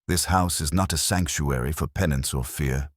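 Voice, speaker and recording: A man's voice: rumbling low, moderate pace, no room sound, clean studio sound. A man voicing an imperious, blunt male detective who speaks in pompous, formal pronouncements in a mystery audiobook. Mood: blunt, final. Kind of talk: mystery audiobook character reading.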